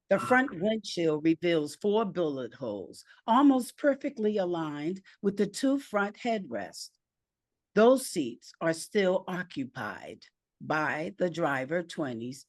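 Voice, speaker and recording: A woman narrating a screenplay aloud with deliberate, measured phrasing. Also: fairly low pitch, darkish tone, dry recording, clean studio sound.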